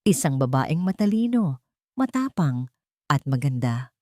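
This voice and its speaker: A woman acts out a devoted young Filipino businessman in a romance audio story. The words come earnest and tender, as steady declarations of love. The sound is dry and close. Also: low voice, a little slow, no room sound. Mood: proud, earnest.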